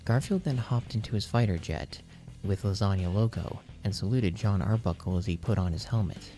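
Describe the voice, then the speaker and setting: A man's voice: on the low side, normal speed, mellow, faint music behind. A man narrating absurd fan fiction as a scripted audiobook reading. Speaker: audiobook reader.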